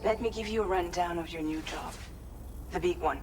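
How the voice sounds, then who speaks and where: An actress playing a businesslike, friendly mission guide in a video game, briefing step by step. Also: female voice, fairly low pitch, moderate pace, over music, natural voice. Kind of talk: video game cutscene acting.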